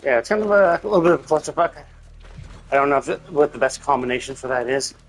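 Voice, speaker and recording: A man's voice: mid-range voice, expressive, fast talker. A man streaming a game with casual, rambling commentary and some swearing, explaining things as he thinks aloud. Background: game music.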